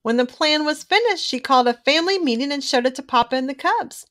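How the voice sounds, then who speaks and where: A woman reading a children's storybook aloud in an expressive, emphatic way, drawing out key words. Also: high-pitched, fast talker. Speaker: children's book reader.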